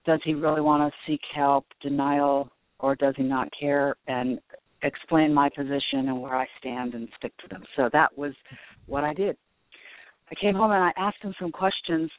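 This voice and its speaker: A woman's voice: low-pitched, moderate pace. A woman calling in to a radio show, chatty and grateful, laughing often. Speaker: caller. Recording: tinny phone line.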